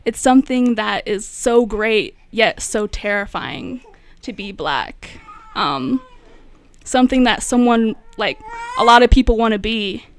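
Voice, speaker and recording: A young woman, a poet and radio guest, talking slowly and reflectively in a studio with many pauses and fillers. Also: on the high side, animated. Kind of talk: spoken word poetry and casual radio talk.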